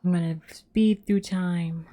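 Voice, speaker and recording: A woman's voice: fairly low pitch, steady tone, slow, mellow, slight background noise. A woman streaming a video game, chatting casually in a laid-back way as if musing to herself.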